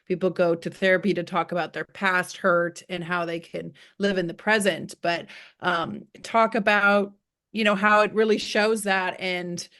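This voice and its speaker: A woman's voice: lowish voice, fairly even intonation, unhurried, fairly bright tone. A woman interviewing a guest in an informal, loosely phrased conversational way.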